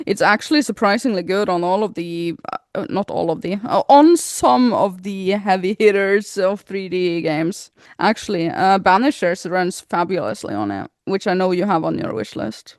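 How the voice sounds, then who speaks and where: A woman co-hosting a casual podcast chat. She talks in an animated, rambling way, restarts her sentences and laughs often. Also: on the low side, normal speed, hardly any pauses, dry recording, studio quality. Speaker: podcast co-host.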